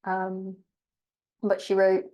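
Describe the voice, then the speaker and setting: A woman coach with a cold and a hoarse edge, speaking in an even, little-varied tone. She gives reflective, steady advice, pausing on fillers. Her voice rings in a roomy space, picked up from a distance. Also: mid-range voice. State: has a cold, voice going hoarse. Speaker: eating disorder recovery coach. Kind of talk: live group coaching Q&A.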